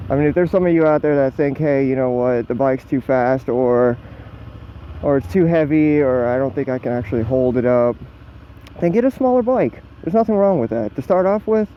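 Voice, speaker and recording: A man's voice: medium pitch, moderate pace. A man in his twenties with an American accent, chatting casually and unscripted as a motorcycle vlogger, in a rambling, self-deprecating, casually profane way. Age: 20s. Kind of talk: casual unscripted chat while riding. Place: outdoors, riding a motorcycle on the road. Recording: muffled helmet mic with motor vehicle noise. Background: engine and road noise.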